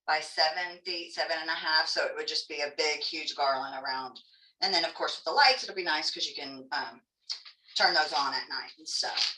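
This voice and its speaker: A woman's voice: low voice, bright, forceful tone, echoey room, recorded from a distance, poor audio. Chatty Texan woman teaching a craft class. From Texas. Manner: chatty, folksy, self-joking. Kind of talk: live online craft demonstration.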